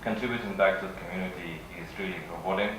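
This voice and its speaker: Low-voiced Russian man in an echoey room. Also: fairly even intonation, fast talker. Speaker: conference speaker. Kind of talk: conference presentation, semi-improvised. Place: conference session room. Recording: echoey room from far off, faint noise.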